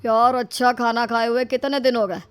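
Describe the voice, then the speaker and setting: A woman's voice: fairly high pitch, steady tone, normal speed, clean tone, natural voice. A woman voicing a sceptical, practical young son-in-law who grumbles and questions things in a comedy story cartoon. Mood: resigned. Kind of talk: acted dialogue in a Hindi comedy story cartoon.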